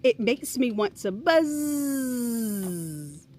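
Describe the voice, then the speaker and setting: A woman's voice: on the high side, very animated, drawn-out words, dark tone, slight background noise, voice pushed up, full character voice. A woman voicing contented little storybook bees, exclamatory and drawing out her words. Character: contented little bees, buzzy and food-loving.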